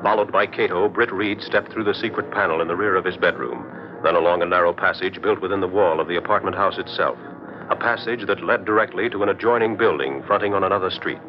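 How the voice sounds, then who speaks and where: An actor playing a solemn narrator, reading dramatic scripted narration for a 1940s radio crime serial. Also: male voice, deep voice, fast talker, lightly acted. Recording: dull old radio recording. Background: music.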